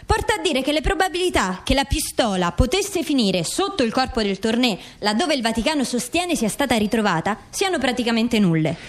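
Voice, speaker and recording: Female narrator, fairly high voice, formal scripted read for documentary radio theatre. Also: sing-song, brisk, hardly any pauses.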